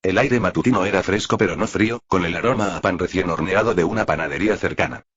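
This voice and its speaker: Male narrator for prose fiction: deep register, husky edge, slightly muffled lo-fi sound. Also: fast talker, fairly bright tone. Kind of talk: scripted audiobook narration of fan fiction.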